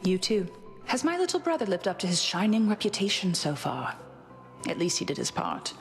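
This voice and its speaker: A woman's voice: mid-range voice, sing-song, a little fast, vocal fry, faint music behind. A woman voicing a confident young huntress goddess in a video game, speaking in a casual, candid and wry way. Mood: wry, teasing.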